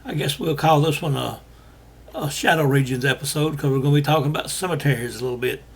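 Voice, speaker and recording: An older man from Alabama talks to his viewers in a hoarse, gravelly voice that keeps breaking into fry. His delivery is halting, full of pauses and restarts, and his voice sounds husky and strained. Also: fairly high pitch. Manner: halting, many fillers and restarts. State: losing his voice. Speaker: host. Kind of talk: casual monologue to viewers.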